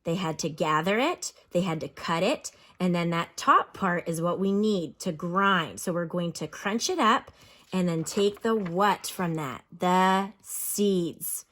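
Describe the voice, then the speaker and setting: A woman teacher reading aloud to children and explaining as she goes, with expressive, instructional delivery. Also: fairly low pitch, a little slow. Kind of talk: read-aloud lesson with explanations and questions to children.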